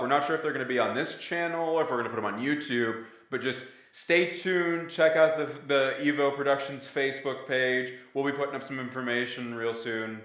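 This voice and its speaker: A young man co-hosting a casual podcast. He talks in an animated, teasing way and laughs often. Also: mid-range voice. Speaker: podcast co-host. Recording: echoey room.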